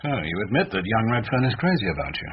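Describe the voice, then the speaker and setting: An actor in a radio drama playing a suspicious, restrained, reproachful husband with a stern, clipped manner. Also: male voice, lowish voice, big pitch swings, fast. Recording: muffled, noisy radio drama recording.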